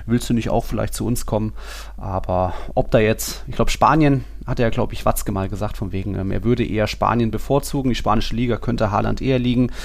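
A man's voice: fairly low pitch, a little fast, creaky. A man hosting a casual football podcast, chatting colloquially and acting out imagined quotes as he goes. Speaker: podcast host.

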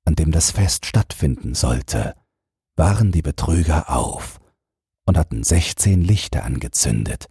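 Deep, very husky male storyteller. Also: expressive, unhurried, dark tone, no room sound, studio quality. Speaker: audiobook narrator. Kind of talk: scripted fairy-tale narration for bedtime.

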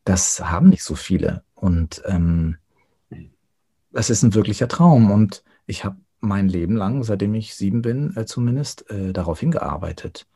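A man speaking reflectively as an interview guest, thoughtful and often pausing to search for words. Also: on the low side, animated, dark tone, slightly rough. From Germany.

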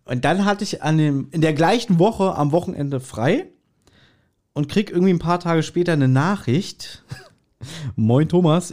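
A man's voice: a little high, dark tone. A man chatting casually as a co-host on a podcast.

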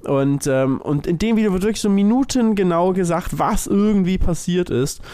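A man's voice: sits high, expressive, moderate pace, dark tone, smooth. A man co-hosting a podcast, explaining things in an informal, matter-of-fact way. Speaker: podcast co-host. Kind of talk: casual explanatory chat.

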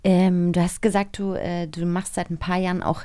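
A woman's voice: fairly low pitch, level tone, normal speed, dark tone. A woman hosting a casual interview, asking questions in an eager, inquisitive way. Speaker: interviewer, podcast host.

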